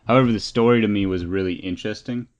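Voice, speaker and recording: A man's voice: on the low side, fast. A man co-hosting a podcast, chatting casually about games and films in a probing, opinionated way. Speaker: podcast co-host. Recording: dull, band-limited lo-fi sound.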